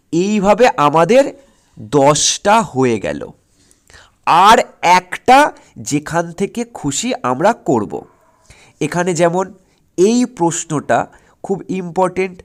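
A man teaching exam preparation, explaining step by step and often stopping to check that students follow. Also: fairly high pitch. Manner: instructive, often checks understanding with short questions; repetitive, step-by-step counting and listing.

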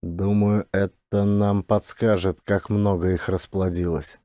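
A man playing a grim, cautious scientist on a space expedition, explaining seriously and in a measured way for an audiobook. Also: sits low, little pitch movement, mellow. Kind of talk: audiobook drama character voice.

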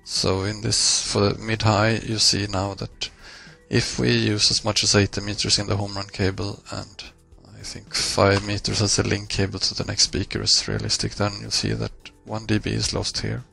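A man presenting a software tutorial in a measured, halting explanatory way, pausing mid-phrase. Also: on the low side, completely flat delivery, vocal fry, slightly rough, soft background music, poor audio. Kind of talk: screencast software walkthrough, explanatory.

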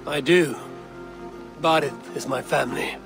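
An actor playing a gruff, impatient Norse warrior with a Scandinavian accent and a terse, deadpan manner. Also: male voice, medium pitch, measured pace, over music. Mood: matter-of-fact.

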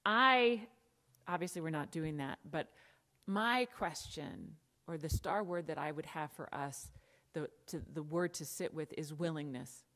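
A woman's voice: low-pitched, a little slow, fairly bright tone. A middle-aged American woman pastor preaching from the pulpit in a church sanctuary, conversational with asides and emphatic lists. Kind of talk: sermon.

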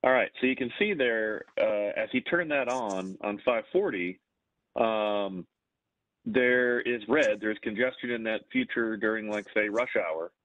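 A man's voice: lowish voice, measured pace, no echo. A man on a video call explaining to colleagues, checking in with rhetorical questions. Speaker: planner on a webinar. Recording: tinny, dry phone-like line.

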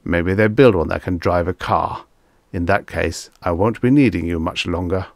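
A man's voice: low voice, normal speed, gravelly, dry recording. A man voicing a rich, impatient bully in an audiobook story, with a curt, growling manner. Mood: commanding.

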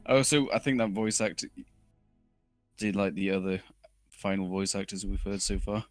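Low-voiced man casually commenting. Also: moderate pace, no room sound, soft background music. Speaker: commentator watching game cutscenes.

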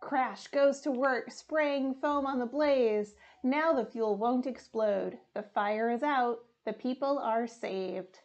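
A young woman reading a children's storybook aloud in a deliberate, expressive way. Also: a little high, lo-fi sound. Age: young adult. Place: small room indoors.

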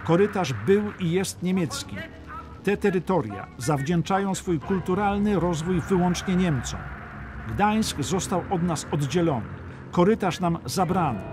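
A man's voice: high-pitched, lively intonation, unhurried, background noise. A man reading a scripted, dramatic history audiobook as narrator. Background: music.

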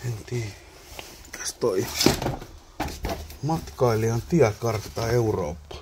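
A man's voice: medium pitch, very noisy. Man muttering through an abandoned cabin. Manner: muttering, wondering aloud while poking around. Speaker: abandoned-places explorer. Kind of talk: casual exploration vlog commentary. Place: abandoned wooden cabin, indoors.

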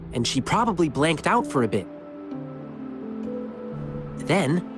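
A young man voicing an earnest, eager lion dance performer in video game story dialogue, explaining things in an encouraging way. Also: on the high side. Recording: game music behind voice. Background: music.

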